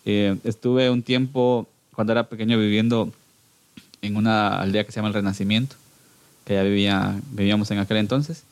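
A man's voice: on the low side, steady tone, brisk, no echo. A man in his thirties from Guatemala with a Guatemalan Spanish accent, chatting casually as a podcast guest. He laughs often and hesitates and restarts his sentences. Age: 30s. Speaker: podcast guest, band singer. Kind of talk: casual interview chat.

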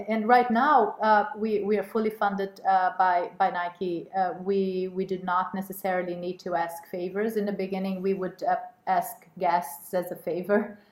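A woman's voice: medium pitch, a little mellow. Hesitant woman in an echoey room. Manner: hesitant, many fillers and restarts. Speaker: guest, women's network co-chair. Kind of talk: casual interview talk.

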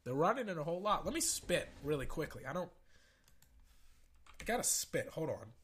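Young guy with a sore throat doing a sports podcast, high voice, bright and pushy, hypes everything. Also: normal speed, breathy edge. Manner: brash and excitable, hypes with "ladies and gentlemen". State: sore throat, losing his voice. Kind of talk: casual sports podcast talk.

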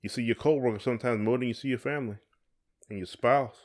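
A man's voice: medium pitch, fast. A man co-hosting a podcast in casual conversation, talking in a folksy way and often repeating his phrases. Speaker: podcast co-host.

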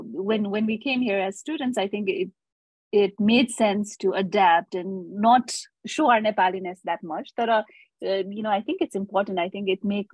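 A woman's voice: medium pitch, a little mellow, breathy edge, dry recording, low-quality recording. A woman hosting an interview, asking questions hesitantly with many ums and uhs and slipping in Nepali phrases. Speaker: podcast host. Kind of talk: casual interview conversation.

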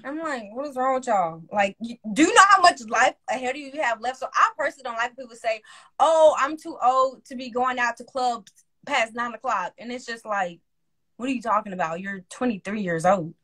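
A young woman in her twenties hosts a casual conversation. She talks in a loose, lively way, and her voice sits a little high. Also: fairly quick, rough recording. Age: 20s. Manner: casual, lively, sums up with a punchy one-word tag. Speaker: host. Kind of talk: casual chat.

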